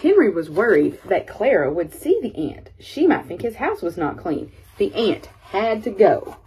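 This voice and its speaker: A woman reading a children's picture book aloud as an expressive storyteller. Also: medium pitch, normal speed, slight background noise, rough recording.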